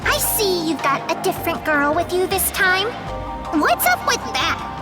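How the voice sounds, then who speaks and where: A woman's voice: extremely high pitch, very animated, unhurried, heavily acted. An actress voicing a perky, clingy young female companion in a video game, talking in a bubbly, teasing way. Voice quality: bubbly, teasing valley-girl talk. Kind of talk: video game cutscene voice acting. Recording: game audio with loud music behind.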